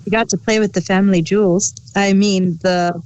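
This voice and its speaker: A woman chatting informally as a review co-host, explaining things in a halting, unscripted way. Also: medium pitch, fairly even intonation, darkish tone, highs cut off, slight background noise. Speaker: podcast co-host reviewing audio dramas. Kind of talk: casual unscripted chat.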